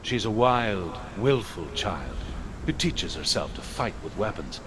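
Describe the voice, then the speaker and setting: A deep, solemn legend-teller. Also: male voice, very animated, noisy background. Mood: solemn, reverent. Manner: solemn, measured, dramatic. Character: grave male storyteller recounting Norse legends. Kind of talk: dramatic storytelling in a video game.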